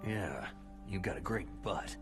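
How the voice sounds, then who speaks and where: Very deep, gruff jaded mercenary. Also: male voice, unhurried. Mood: dry, terse. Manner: terse, gruff, deadpan. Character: jaded, world-weary male mercenary soldier, cynical loner. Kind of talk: dramatic video game voice acting. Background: background music.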